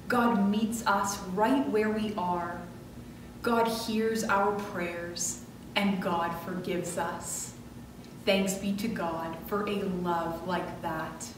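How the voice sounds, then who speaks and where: A woman pastor leading prayer and worship in a measured, reverent way. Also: medium pitch. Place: medium-sized church sanctuary, indoors. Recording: echoey church, distant mic, clearly noisy.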